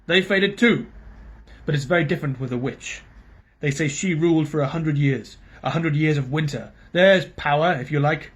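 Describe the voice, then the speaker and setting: A bitter, scheming dwarf sneers and snaps in a raised, cold voice, as a man puts him on. The reading is husky and a little high. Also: moderate pace. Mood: sneering. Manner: sneering, snapping.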